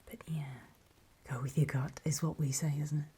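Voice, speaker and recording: A woman playing a wary, paranoid zombie apocalypse survivor in a horror ASMR roleplay, in a soft breathy whisper with hesitant, confiding delivery. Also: low voice, level tone. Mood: hushed, wary warning. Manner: slow, hesitant, confiding, with pauses.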